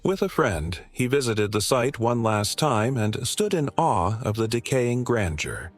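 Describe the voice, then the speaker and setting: A man narrating a scripted documentary in a measured storytelling manner. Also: mid-range voice, very animated. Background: background music.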